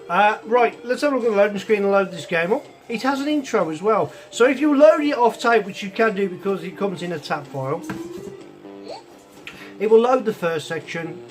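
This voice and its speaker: Male hobby host, croaky and high-pitched, loose rambling delivery, chiptune music under the talk. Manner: chatty, meandering, many ums and asides. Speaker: host of a retro computer show. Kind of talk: casual rambling review chat. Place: home hobby room with computers and a soldering bench. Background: chiptune music playing from a computer.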